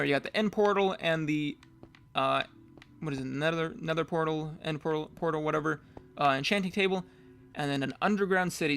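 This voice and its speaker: Gravelly young man, rambling game tour. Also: a little high, fairly bright tone. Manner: rambling, self-correcting, casual. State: getting hoarse. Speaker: gamer giving a world tour. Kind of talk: casual game commentary.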